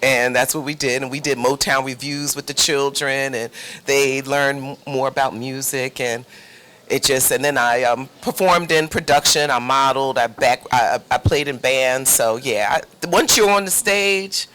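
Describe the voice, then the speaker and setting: A woman's voice: rumbling low, moderate pace, a bit bright. A woman playwright answering questions in a casual, conversational interview style. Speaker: playwright and producer being interviewed.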